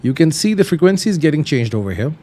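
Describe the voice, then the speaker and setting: A man's voice: fairly high pitch, very animated, fast talker, mellow. A man hosting a tutorial, explaining things casually step by step and repeating simple instructions. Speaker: host of flight simulator tutorials.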